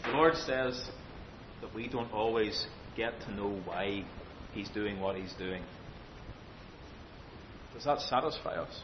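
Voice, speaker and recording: A man preaching an expository sermon, speaking with emphatic, deliberate phrasing, rhetorical questions and repetition. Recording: dull lo-fi sound, faint rumbling noise.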